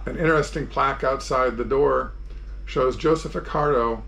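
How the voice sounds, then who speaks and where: A man's voice: mid-range voice, normal speed, gravelly, a bit bright. A man in his sixties giving an informal, plain-spoken narration as a vlog host, explaining things step by step. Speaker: sailing vlogger and host. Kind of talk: informal narrated travel tour and how-to.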